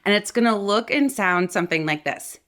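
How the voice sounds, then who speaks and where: A woman's voice: medium pitch, lively intonation, moderate pace, a bit bright. A woman speaking as a voice coach and host, explaining things to viewers in an articulate, conversational way. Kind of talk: instructional talk to viewers.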